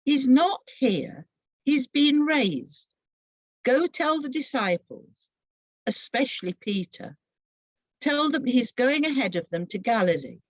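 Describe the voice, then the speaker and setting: Young male heavenly messenger, played by a woman reader, reassuring, proclaiming, raised pitch, slightly dull recording. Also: big pitch swings, slow, dry recording, exaggerated voice.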